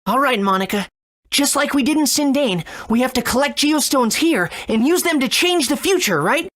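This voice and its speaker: A woman's voice: high-pitched, expressive, normal speed. A woman voicing an earnest, eager young boy hero in a video game. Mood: determined. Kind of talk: video game character acting and narration.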